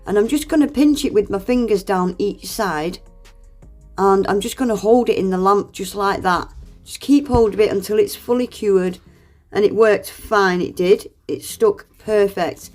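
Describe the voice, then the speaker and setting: A woman's voice: mid-range voice, a little mellow. Chatty woman with a stuffy nose. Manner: chatty, self-deprecating, laughs often. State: congested with a cold. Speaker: nail art vlogger. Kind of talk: voice-over tutorial narration.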